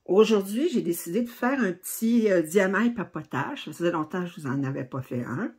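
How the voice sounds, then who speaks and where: A woman's voice: lowish voice, animated, fairly quick, darkish tone, husky. A middle-aged woman with a Québécois French accent, hosting a craft channel and chatting casually to camera with many fillers and restarts. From Quebec, Canada.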